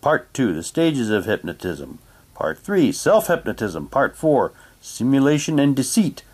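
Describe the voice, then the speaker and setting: A man chatting casually while reading documents aloud, haltingly, with muttered asides to himself. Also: mid-range voice, sing-song, a little mellow, slightly rough. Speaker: reviewer unboxing an audio drama's props.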